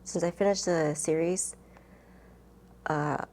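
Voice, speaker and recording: A woman's voice: low-pitched, fairly even intonation, takes it slowly, darkish tone. A woman chatting casually as a book vlogger, rambling and interrupting herself in a giggly, informal way. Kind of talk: casual chat to camera, book tag.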